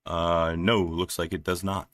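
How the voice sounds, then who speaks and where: A man from New Jersey with a deep voice, chatting casually and haltingly on a live stream while he works at his computer, with lots of fillers. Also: level tone, fairly bright tone, no room sound. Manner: rambling, halting, many fillers, laughs often. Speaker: livestreaming developer.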